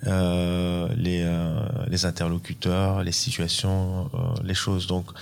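A man's voice: low voice, monotone, takes it slowly, gravelly, clear, resonant tone. A man in his fifties, from Iran, answering interview questions hesitantly, searching for words and repeating them. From Iran, then the US, UK and France. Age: 50s. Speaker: podcast guest, watch auction executive.

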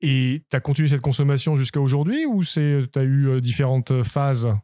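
A man hosting a podcast interview, asking calm, friendly questions. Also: mid-range voice, moderate pace. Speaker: podcast host.

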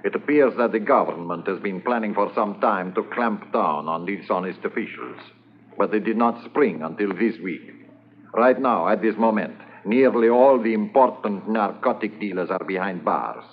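A man's voice: lowish voice, moderate pace, lightly acted. A man playing a formal, courteous Spanish drug-ring henchman in a 1950s radio drama, heard on a muffled, hissy transcription. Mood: regretful.

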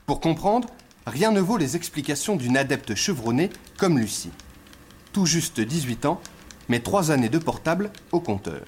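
A man narrates a TV magazine segment with a fairly high voice and a wry, explanatory delivery. Faint background noise hangs under this archive recording. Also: big pitch swings, moderate pace, darkish tone. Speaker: TV documentary narrator. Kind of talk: scripted narration.

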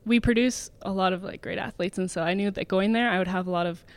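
A woman in her twenties, a swimmer being interviewed, talking casually with many fillers and quick laughs. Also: medium pitch. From Canada. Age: 20s. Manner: chatty, filler-heavy, laughs often. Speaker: guest, elite swimmer.

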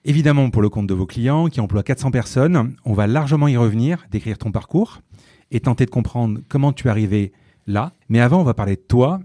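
A man's voice: medium pitch, expressive, a little fast, dark tone, dry recording, studio quality. A man hosting an interview podcast, presenting and putting questions to a guest. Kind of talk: scripted podcast intro, then conversational interview.

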